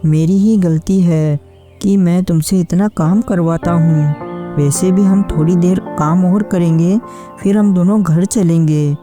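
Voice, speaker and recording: A woman's voice: lowish voice, fairly even intonation, a little slow, dark tone, natural voice. A woman voicing a hardworking, kind-hearted farmer husband in a cartoon story, speaking in an earnest, plaintive way. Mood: concerned, apologetic. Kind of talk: scripted cartoon story acting. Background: background music.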